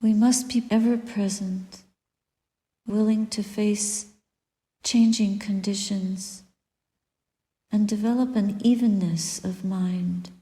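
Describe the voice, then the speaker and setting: A woman guiding a meditation as a Buddhist teacher, speaking softly and deliberately in short phrases with long pauses and breathy endings. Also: medium pitch, steady tone. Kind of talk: guided meditation, spoken dharma reflection. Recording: echoey room with long reverb.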